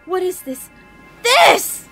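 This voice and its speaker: Woman acting out a fierce, shrill schoolgirl. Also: very animated, takes it slowly, loud music behind the voice, exaggerated voice. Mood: horrified. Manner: intense, defiant outbursts. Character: Midori, fierce, panicking schoolgirl lead actress.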